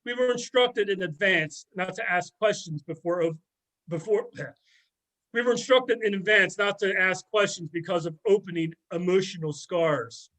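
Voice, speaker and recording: A man's voice: high-pitched, normal speed, a bit bright, low-quality recording. A man playing a sardonic, gossipy middle-aged former student in an amateur readers theater drama. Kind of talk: amateur readers theater, conversational script reading.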